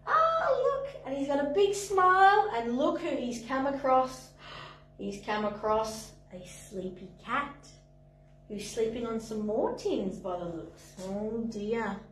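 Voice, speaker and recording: A young woman with a slightly high voice tells a story for little children. She swoops in pitch and exclaims as she talks. She sounds a little distant, picked up in an echoey playroom. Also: takes it slowly, a little hiss or hum. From Australia. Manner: animated, sing-song, exclamatory storytelling. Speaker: playgroup facilitator. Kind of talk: storytelling lesson for parents and toddlers. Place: indoor playroom, in front of a screen. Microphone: far camera. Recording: echoey room, voice from afar.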